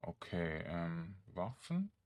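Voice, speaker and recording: A man giving casual gameplay commentary, thinking aloud in short, halting phrases. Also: low voice, very animated, a little mellow. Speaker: let's play streamer.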